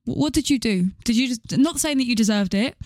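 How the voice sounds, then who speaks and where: A woman's voice: a little high, lively intonation, brisk, darkish tone, no room sound, clean studio sound. A young woman co-hosting a podcast, chatting casually in a playful, questioning way. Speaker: podcast co-host. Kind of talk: casual chat, reacting to stories.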